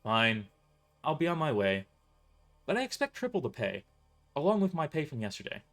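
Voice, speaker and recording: A man's voice: on the high side, very animated, moderate pace, a bit bright, soft background music, voice pushed up. A man playing a grumpy young mercenary in an audio drama, irritable, sarcastic and foul-mouthed. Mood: grudging.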